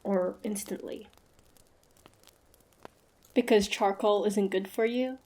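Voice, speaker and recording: A woman's voice: medium pitch, fairly even intonation, slow, dark tone, close to an everyday voice. A woman playing a proud, suspicious young female hunter in a fantasy audio roleplay, guarded and easily flustered, with a grudging tsundere streak. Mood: wary, puzzled.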